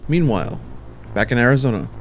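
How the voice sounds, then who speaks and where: A man's voice: mid-range voice, lively intonation, quick pace. A man giving scripted narration for an audio drama in a plain, matter-of-fact way. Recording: slightly dull lo-fi sound over music.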